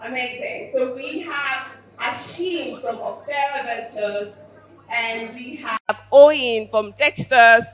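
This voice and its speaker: A woman moderating a live event panel, upbeat and quick as she hands over to the speakers. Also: fairly high pitch, fairly bright tone, poor audio. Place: event stage with audience.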